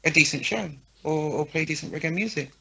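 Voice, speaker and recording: A woman's voice: deep voice, a little fast, creaky, fairly bright tone, husky. A woman guest on a talk podcast, a show promoter speaking frankly and often checking in with her listener. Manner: frank, lists names, checks in with "yeah?" and "right?". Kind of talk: casual interview talk.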